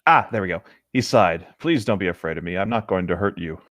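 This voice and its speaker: A man casually reading a story aloud, pausing hesitantly to add chatty, joking comments. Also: low voice, animated, a bit bright, no echo, studio quality. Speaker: co-host reading and riffing on fan fiction.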